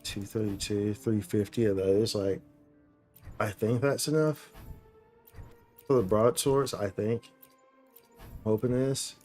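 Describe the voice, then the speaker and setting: A man's voice: fairly low pitch, fairly even intonation, takes it slowly, pauses often, a little mellow. A man doing casual live gameplay commentary, thinking aloud in slangy, repetitive phrases. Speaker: game livestreamer. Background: faint game music.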